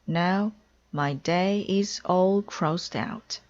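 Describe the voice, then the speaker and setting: An anxious, stubborn toad from a children's storybook, played by a woman. He speaks very slowly and plaintively, with emphatic delivery and a pitch lifted above the reader's usual. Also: a little mellow, muffled recording. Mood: earnest. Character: anxious, stubborn male toad, easily upset.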